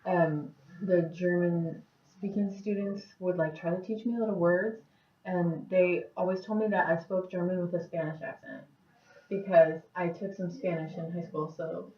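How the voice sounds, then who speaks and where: A woman's voice: on the low side, steady tone, moderate pace. A young American woman, a university student, chatting casually in a classroom group discussion, laughing often and filling her sentences with "like". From United States. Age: young adult. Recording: echoey room from a distant, muffled mic.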